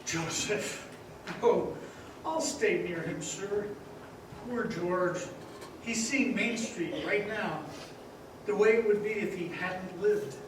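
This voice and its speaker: A man playing a gentle, innocent, very old little angel in a stage radio play, earnest and patient. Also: high-pitched, slow, long pauses. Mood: dutiful, concerned. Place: live stage in a large echoing hall. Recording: echoey hall from far off, noisy.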